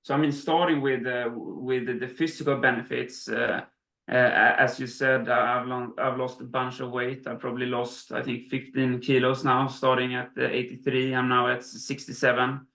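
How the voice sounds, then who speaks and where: A man's voice: mid-range voice, level tone, moderate pace, vocal fry, bright, forceful tone. A man from Sweden talking as an interview guest, hesitant, with many restarts and fillers. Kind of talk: casual interview. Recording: roomy echo.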